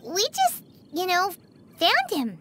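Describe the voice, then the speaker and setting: A woman voicing a tiny floating fairy companion, cute and cheeky, in a squeaky childlike exclaiming video game voice. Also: wildly swooping pitch, slow, noisy background, heavily acted. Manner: exclaiming, speaks of herself by name. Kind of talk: video game character acting.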